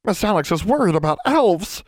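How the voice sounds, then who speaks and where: A man's voice: medium pitch, very animated, fairly quick, no room sound, studio quality, voice pushed up, close to an everyday voice. A man voicing a timid, servile library elf in an audiobook, speaking eagerly in broken servant grammar. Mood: tearfully moved. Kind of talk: audiobook character voice.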